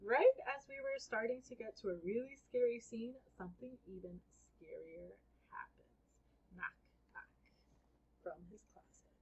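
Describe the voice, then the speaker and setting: A woman reading a children's book aloud as an expressive first-person storyteller. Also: a little high, unhurried, slightly rough, highs cut off.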